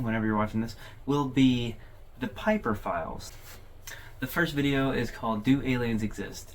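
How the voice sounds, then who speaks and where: Man commentating casually over clear background noise. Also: lowish voice. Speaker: host of a horror video overview channel.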